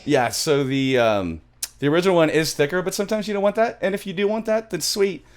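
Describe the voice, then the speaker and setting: A man's voice: a little high, quick pace. A middle-aged man hosting a music production tutorial in a casual, rambling way, often repeating his phrases. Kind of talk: casual screen-share tutorial talk. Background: music from the software.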